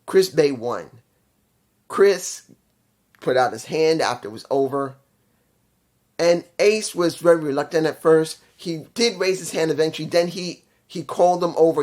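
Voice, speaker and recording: A man with a congested, nasal voice from allergies, giving a casual spoken review of a wrestling show with rambling restarts. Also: fairly high pitch.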